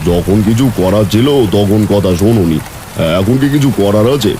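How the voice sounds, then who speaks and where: Brief: man plays a low-voiced, grumbling cartoon husband, heavy rain noise. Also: normal speed, dark tone, close to an everyday voice. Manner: sardonic, grumbling. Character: stubborn, grumbling village husband.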